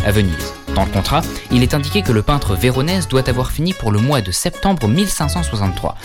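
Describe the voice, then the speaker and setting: A man narrating a scripted art-history lesson, speaking directly to viewers with questions and wry asides. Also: on the low side. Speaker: educational video narrator.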